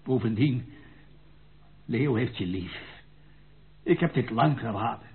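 An actor playing an aging, anxious grandfather and general in a Dutch radio play, pleading in a gravelly older voice. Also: medium pitch, expressive, takes it slowly. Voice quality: creaky, gravelly. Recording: narrow-band tinny radio play recording.

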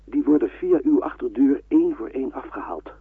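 Urgent resistance helper, respectful, radio drama, very husky voice, dry tinny sound. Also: male voice, medium pitch, lively intonation, a little fast, no echo. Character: urgent resistance farm man warning his pastor. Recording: dry, tinny telephone-like old radio recording.

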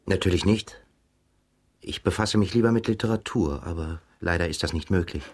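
An actor playing a reserved, courteous émigré journalist in intimate radio play dialogue, polite and a little hesitant. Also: male voice, sits low, normal speed. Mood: reserved, intrigued.

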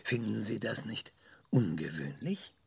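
A man's voice: on the low side, animated, a little slow, vocal fry, a little hiss or hum, exaggerated voice. An older man in a radio drama playing an aggressive, eccentric, obsessive scientist who speaks in a hesitant, fitful way. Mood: insistent, probing.

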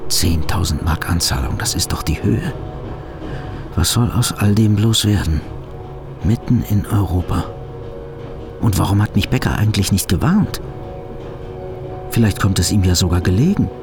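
An anxious, hunted businessman broods inwardly in a radio drama. His voice is low and creaky, as if thinking aloud to himself, with music behind it. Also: animated, normal speed, pauses often. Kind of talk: radio play inner monologue.

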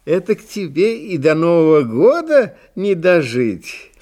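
A man's voice: a little high, big pitch swings, measured pace, darkish tone. A man voicing a kind old grandmother who coos with tender pity in a children's fairy-tale audio play.

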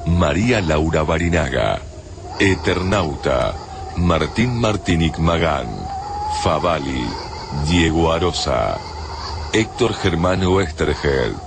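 A man with an Argentine accent reading scripted radio drama credits in a measured, formal announcer's manner. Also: extremely low pitch, background noise. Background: prominent music.

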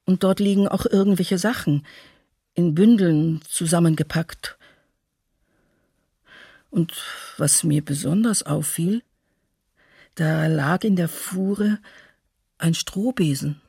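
An actress playing a sombre woman eyewitness giving halting testimony in a documentary radio drama. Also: fairly low pitch, gravelly, mellow, slightly rough, no echo, studio quality, heavily acted. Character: woman eyewitness recalling a silent procession, sombre.

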